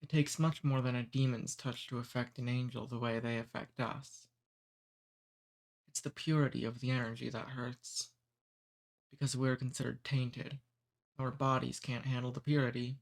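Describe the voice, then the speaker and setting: A man voicing a cordial, amused male demon who takes humans away, speaking softly and unhurriedly in an ASMR roleplay. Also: medium pitch, little pitch movement, normal speed, gravelly, lightly acted.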